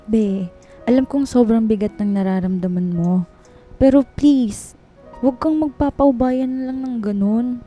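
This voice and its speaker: A woman's voice: on the high side, measured pace, dark tone, muffled recording, faint music behind, natural voice. A woman playing a loyal, outspoken coworker in a radio drama, talking informally and urgently to a friend. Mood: concerned. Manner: urging, indignant, informal.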